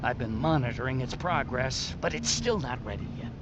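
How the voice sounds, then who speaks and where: An actor playing an excitable hacker in a video game, explaining things emphatically in technical jargon. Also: male voice, mid-range voice, moderate pace, heavy background noise, lightly acted. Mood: excited. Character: excitable male tech expert and hacker resistance ally. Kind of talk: video game cutscene acting.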